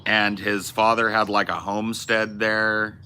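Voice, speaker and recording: Low-voiced, profane male livestream host. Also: flat delivery, a little slow, bright, forceful tone, slight background noise. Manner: rambling, profane, restarts and self-corrections. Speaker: streamer host. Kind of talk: casual livestream chat reading viewer messages.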